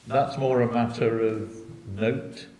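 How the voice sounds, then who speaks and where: Low-voiced man chairing a church meeting, careful and hesitant. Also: steady tone, takes it slowly, dark tone, slight background noise. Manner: hesitant, with many restarts and qualifiers. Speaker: church meeting presenter answering questions on accounts. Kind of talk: meeting talk, thinking aloud.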